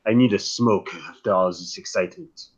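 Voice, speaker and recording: A man's voice: lowish voice, brisk. A man playing an understated male dinner guest in a mystery roleplay, with dull, muffled sound. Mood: unsettled.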